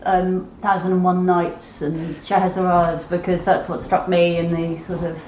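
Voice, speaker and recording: A female interviewer with a slightly low voice asks casual, bookish questions. She is heard from a distance in a roomy space, with faint noise around her. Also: little pitch movement, normal speed, a little mellow. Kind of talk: casual literary discussion.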